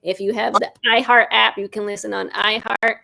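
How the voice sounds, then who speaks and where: A woman's voice: medium pitch, little pitch movement, moderate pace, creaky, sharp tone, rough recording. A woman, a guest on a show, giving casual promotional talk. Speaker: audio drama creator, show guest.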